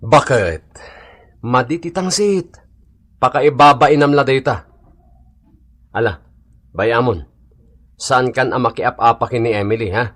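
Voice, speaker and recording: A man's voice: medium pitch, a bit bright, close to an everyday voice. A man playing a level-headed, peace-keeping husband and father in a radio comedy drama, reasoning and admonishing.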